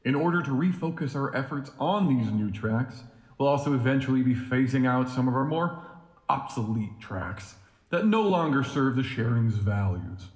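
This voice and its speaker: Guy acting as slick grandiose leader giving a speech, really animated pitch, big echoey hall, audio drama. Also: natural voice. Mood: smooth, grand. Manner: grandiose, deliberate, dramatic pauses. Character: charismatic male organization president with veiled menace. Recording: echoey hall with long reverb.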